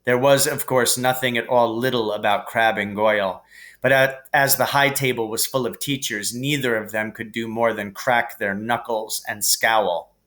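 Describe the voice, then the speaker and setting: Bright-voiced man reading a story aloud. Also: medium pitch, moderate pace. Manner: expressive, character voices for dialogue. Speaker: reader, teacher reading aloud. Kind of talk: audiobook narration of a children's fantasy novel.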